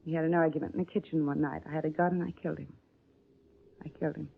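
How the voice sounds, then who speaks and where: A woman's voice: low voice, racing, vocal fry, breathy edge, heavily acted. An actress playing a middle-aged woman suspect who denies everything and then confesses to murder, in a 1950s radio drama. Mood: confessing. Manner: defensive, denying, then breaking into flat confession. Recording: narrow phone-like old radio transcription.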